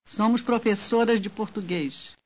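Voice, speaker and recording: A woman reads short language drill phrases aloud, with careful, clear pronunciation. Her voice sits at a middle pitch. The recording is dull and lo-fi. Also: a little mellow. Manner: slow, clear, carefully enunciated. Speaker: language course speaker. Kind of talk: scripted drill reading. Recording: dull lo-fi course tape.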